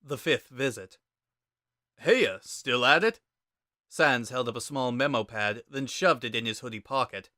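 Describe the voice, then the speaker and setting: A man giving a measured, dramatic narration of a scripted story. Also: medium pitch, steady tone, sharp tone, dry recording, clean studio sound.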